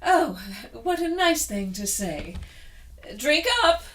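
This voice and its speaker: A scheming governess in an audio melodrama. She speaks slowly and primly, with a syrupy false sweetness and big swoops of pitch. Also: sing-song, exaggerated voice. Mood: cloying. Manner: cooing, prim, syrupy. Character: scheming governess feigning sweetness toward her charges. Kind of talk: melodramatic audio drama acting.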